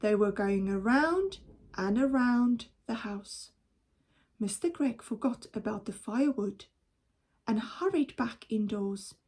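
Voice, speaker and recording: A woman reading a children's story aloud as a teacher would, in a measured, expressive storytelling manner. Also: a little high.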